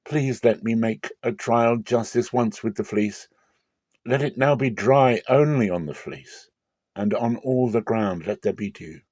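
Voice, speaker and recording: A man's voice: mid-range voice, a little slow, darkish tone, no echo, clean studio sound, lightly acted. Man voicing a humble, pleading biblical hero. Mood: humble entreaty. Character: Gideon, anxious humble man pleading with God. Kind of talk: dramatic scripture reading.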